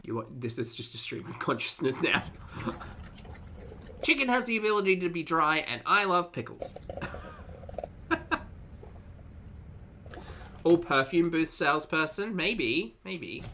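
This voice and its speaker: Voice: mid-range voice, big pitch swings, unhurried, long pauses, sharp tone, slight background noise. A streamer giving casual, wry live commentary to a chat, often chuckling and repeating phrases. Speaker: streamer reacting to videos and chatting with viewers.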